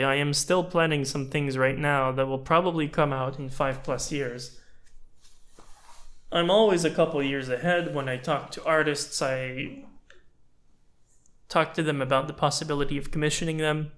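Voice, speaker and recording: A man's voice: a little high, a bit bright. A man in his twenties whose first language is French, casually and thoughtfully looking back on his channel with chatty, self-deprecating asides. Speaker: YouTuber and animator. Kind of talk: casual reflective talk.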